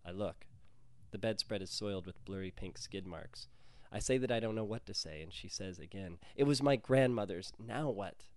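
A man's voice: sits low, moderate pace, no echo. A man reading his own first-person short story as scripted narration.

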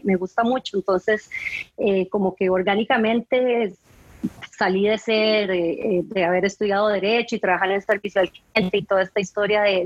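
A woman's voice: medium pitch, slightly rough, dry recording, muffled recording. A woman in her forties with a Costa Rican accent, a guest in a casual interview, talking in a chatty way and often ending sentences with a questioning "right?". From Costa Rica. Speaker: guest, home organizing consultant.

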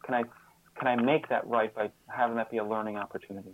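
A man answering as an interview guest in an earnest, conversational way, halting often and restarting as he searches for words. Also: on the low side, steady tone, narrow, tinny audio, slight background noise. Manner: halting, hesitant, searching for words with repeated false starts. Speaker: podcast guest, author and caregiving mentor.

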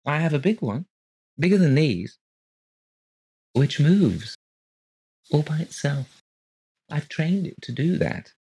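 A man's voice: on the high side, sing-song, slow, pauses often, dark tone, husky, pitched above the performer's own voice, heavily acted. A man doing a chirpy, sinister fat puppeteer. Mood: wheedling. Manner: chirpy, wheedling, then snappish. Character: fat, pettish, sinister middle-aged male puppeteer. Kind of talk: audiobook character voice.

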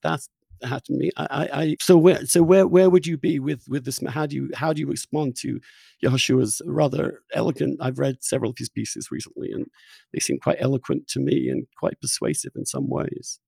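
A man's voice: medium pitch, fairly even intonation, fairly quick, gravelly, mellow, breathy edge, no echo. A man hosting an argumentative political conversation, blunt and insistent, often stammering into restarts as he presses his points. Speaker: podcast host and interviewer.

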